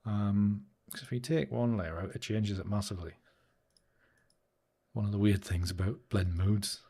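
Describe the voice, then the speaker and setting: A man's voice: lowish voice, fairly even intonation, normal speed, dark tone, breathy edge. Guy on a live stream, rambly and chuckly, chatting to viewers as he edits, studio mic at his desk. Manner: rambling, chuckling, answers chat comments. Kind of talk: casual live-stream chat while photo editing. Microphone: new studio microphone.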